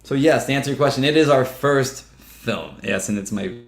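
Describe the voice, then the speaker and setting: A man's voice: medium pitch, moderate pace, creaky. A man in his fifties, a filmmaker guest telling stories in casual interview chat, laughing often and acting out what others said. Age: 50s. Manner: chatty, laughing storyteller who acts out quotes. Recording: roomy echo.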